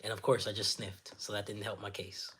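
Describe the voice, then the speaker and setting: A man with a naturally raspy, slightly nasal voice, hosting a casual livestream, reading viewer comments aloud and answering them in a friendly, encouraging way, sniffling often. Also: on the low side, level tone, a little fast, sharp tone, slight background noise. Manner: friendly, encouraging, chatty with filler words. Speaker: livestream host.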